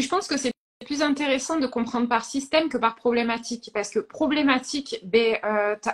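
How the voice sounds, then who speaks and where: A woman hosting a live question-and-answer discussion, asking questions conversationally and adding her own views. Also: fairly high pitch. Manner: conversational, short agreeing interjections. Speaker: host asking viewer questions.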